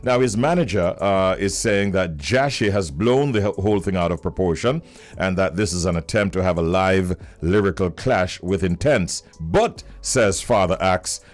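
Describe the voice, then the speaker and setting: A Jamaican man hosting a morning radio show, telling entertainment news in a lively way and slipping into patois for quotes. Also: low voice, moderate pace, faint music behind. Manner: animated storytelling, slips into patois to quote. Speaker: radio host. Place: radio studio. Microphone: studio mic.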